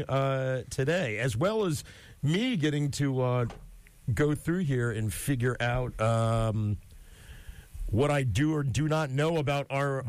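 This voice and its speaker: Chatty, joking young male radio host. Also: medium pitch. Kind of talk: casual interview.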